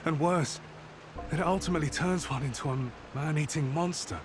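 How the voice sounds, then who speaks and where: A man's voice: on the high side, fairly quick, lightly acted. An actor playing a concerned, loyal male scout in a game cutscene, speaking earnestly and explaining as he goes. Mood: worried, urgent. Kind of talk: scripted game cutscene dialogue. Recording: clear ambient noise behind the voice.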